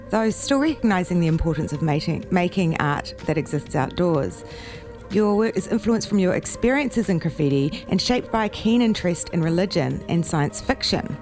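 A woman's voice: lowish voice, a little mellow. A woman hosting a casual interview, chatty and bubbly, reading intros with small stumbles. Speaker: radio show host.

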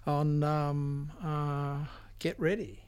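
A man's voice: on the high side, level tone, extremely slow. A man hosting a music radio show off the cuff, hesitant and searching for words. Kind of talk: unscripted music show commentary between tracks. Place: radio studio.

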